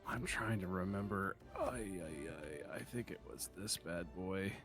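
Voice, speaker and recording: A man's voice: low voice, measured pace, over music. A man co-hosting a casual chat while gaming, with a wry, teasing manner.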